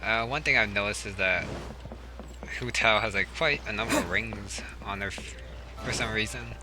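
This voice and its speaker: A man giving casual, offhand let's play commentary in a low-key way. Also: lively intonation, moderate pace. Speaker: gaming channel player. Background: game music.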